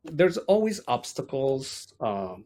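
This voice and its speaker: A man in his late thirties with a Colombian accent, a native Spanish speaker, reflecting on his life as an interview guest in halting, thoughtful phrases. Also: mid-range voice, sing-song, warm tone. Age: late 30s. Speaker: interview guest, language teacher. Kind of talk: reflective personal interview talk.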